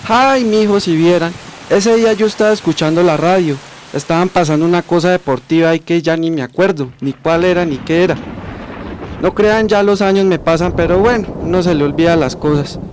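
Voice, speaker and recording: High-voiced folksy aging Colombian man, acted by a man. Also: a little fast, darkish tone, slight background noise, natural voice. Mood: folksy, reminiscing. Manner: colloquial, folksy. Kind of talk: amateur radio drama.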